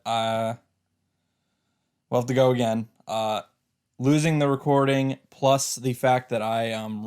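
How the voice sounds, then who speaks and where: A man giving casual let's play commentary and reading visual novel narration aloud in a halting, rambling way. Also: medium pitch, darkish tone, clean tone.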